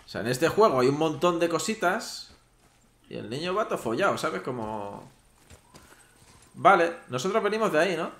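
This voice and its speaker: A man's voice: a little high, very animated, moderate pace, long pauses, fairly bright tone. A man giving casual commentary while playing a video game, chatting to his viewers. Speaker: gameplay streamer. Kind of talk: casual let's-play commentary. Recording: roomy with faint noise.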